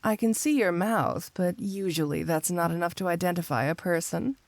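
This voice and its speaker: A woman's voice: on the low side, moderate pace, no room sound, natural voice. A woman playing a flirty, smug supervillain cat burglar in an intimate ASMR roleplay, teasing and coaxing the listener with playful confidence. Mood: flirtatious, soothing.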